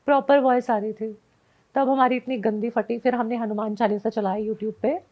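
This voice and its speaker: A woman's voice: on the high side, fairly even intonation, normal speed, dull audio. A woman guest telling a personal story in casual conversation, switching often into English phrases. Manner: mixes Hindi with frequent English phrases.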